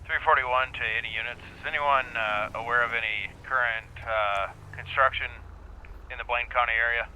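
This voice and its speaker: Sharp, bright man on police radio. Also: medium pitch, fairly even intonation, normal speed, low-quality recording. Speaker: roleplayed police officer on radio. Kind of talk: police radio calls, procedural. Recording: radio chatter over faint game noise.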